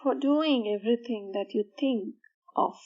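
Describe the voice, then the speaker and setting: Woman, meditation guide, fairly high voice, soothing and measured, short pauses, slightly dull recording. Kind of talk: guided meditation for sleep, slow instruction.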